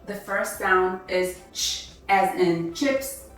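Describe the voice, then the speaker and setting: A woman teaching an online language lesson, enunciating example words clearly and deliberately, her native language Hebrew. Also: medium pitch, recorded from a distance. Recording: very echoey room, voice set back from the mic, faint background music.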